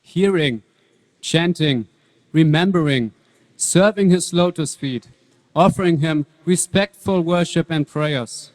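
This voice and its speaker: A man plays a pious young prince in a staged scripture reading. He recites earnestly and in measured phrases, fairly high in pitch, with long hall reverb around him. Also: a little mellow. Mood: earnest, devout. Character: devout, serene young boy prince, a pious student.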